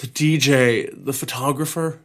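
A man's voice: mid-range voice, a little slow, natural voice. A man playing a tender, teasing young American groom in a naturalistic audio drama. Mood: concerned.

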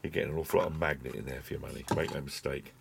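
A man's voice: extremely low pitch, steady tone, racing, vocal fry, slightly rough. A middle-aged British man giving a casual hands-on product review in a chatty, colloquial way, with wry asides. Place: small cluttered indoor desk workspace.

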